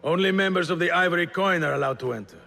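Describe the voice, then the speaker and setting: An actor playing a brusque, dismissive male door guard in a video game, curt and gruff, with a rough gravelly voice. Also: high-pitched, quick pace, pushed and bright, faint music behind, heavily acted. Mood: stern. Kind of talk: cinematic video game dialogue.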